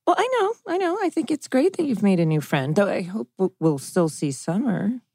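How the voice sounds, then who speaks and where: A woman's voice: medium pitch, very animated, a little fast, warm tone, no room sound, clean studio sound. A woman playing an oblivious middle-aged mother, arch and coaxing, in a TV teen drama table read. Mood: wary, then relieved.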